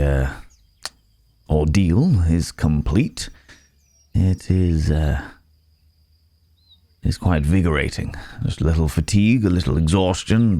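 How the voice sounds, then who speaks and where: Man acting a very deep-voiced, gentle, courteous werewolf. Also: no room sound. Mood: grateful, bashful. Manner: hesitant, halting, polite, soft chuckles, old-fashioned wording. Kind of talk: intimate ASMR audio roleplay monologue.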